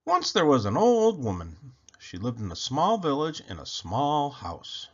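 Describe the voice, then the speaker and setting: Male read-aloud storyteller, hugely swooping pitch, unhurried deliberate phrasing, theatrical, slightly dull recording. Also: wildly swooping pitch, husky. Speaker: picture book reader.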